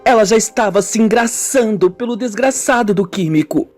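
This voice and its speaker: A woman with a Brazilian accent playing a wounded, brooding woman confessing her childhood in a melodramatic, deliberate radio soap style. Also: a little high, a little mellow, natural voice. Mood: bitter longing. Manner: slow, melodramatic, deliberate storytelling. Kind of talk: amateur radio soap acting. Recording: music bed under the voice.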